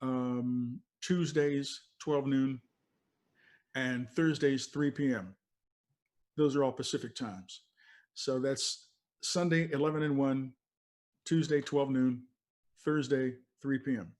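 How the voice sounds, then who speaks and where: A man's voice: medium pitch, slow pace, long pauses, a little mellow. An older American man who worked as a radio DJ, telling stories casually in an emphatic, explanatory way that often wanders off. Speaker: veteran radio DJ, interview guest. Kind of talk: casual conversational storytelling.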